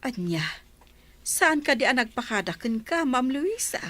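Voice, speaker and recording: An actress playing an inquisitive, deferential housemaid in an Ilocano radio drama, with an Ilocano accent. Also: female voice, sits high, measured pace, exaggerated voice. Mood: curious. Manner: animated, inquisitive.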